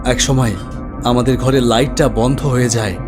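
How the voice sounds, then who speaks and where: A male storyteller delivers scripted horror narration in an expressive, suspenseful way. His voice sits at an average pitch, with prominent music playing behind it. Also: normal speed. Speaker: storyteller narrating in the first person. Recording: music bed under the voice. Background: background music.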